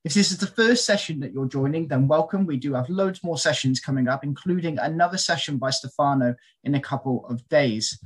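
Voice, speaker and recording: Husky man hosting a webinar. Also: on the high side, fairly quick, few pauses. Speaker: host of university lunchtime sessions. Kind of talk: scripted session introduction.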